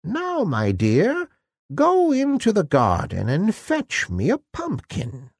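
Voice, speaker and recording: A man voicing a feeble, croaking old beggar woman who is secretly a kindly, gently commanding fairy godmother in a storybook. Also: sits high, wildly swooping pitch, takes it slowly, no room sound, studio quality, pitched above the performer's own voice, heavily acted.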